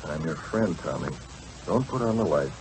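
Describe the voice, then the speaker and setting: An actor playing a terse, commanding masked vigilante in a 1940s radio drama. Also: male voice, very deep voice, lively intonation, normal speed. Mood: quiet, reassuring. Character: masked male vigilante posing as a racketeer, commanding. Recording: noisy vintage radio recording.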